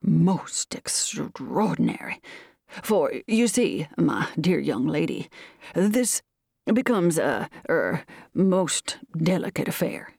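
A woman voicing a flustered, courteous colonel and lawyer in a storybook reading, with halting, stammering, formal speech. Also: deep voice, big pitch swings, vocal fry, a little mellow, slightly rough, no room sound, clean studio sound, exaggerated voice. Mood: uneasy, halting.

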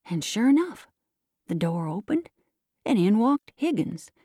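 Woman acting rustic yarn spinner, mellow tone, country dialect, drawn-out folksy storytelling, dry recording. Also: mid-range voice, very animated, husky, no echo. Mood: solemn, mock-tragic. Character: folksy rustic old-time yarn spinner recalling neighbors.

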